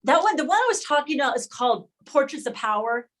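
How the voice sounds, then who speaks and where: A woman's voice: sits high, sing-song, fast talker. A woman leading an informal online discussion with fellow educators, thinking aloud and often correcting herself as she goes. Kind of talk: informal presentation to colleagues. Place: online video call.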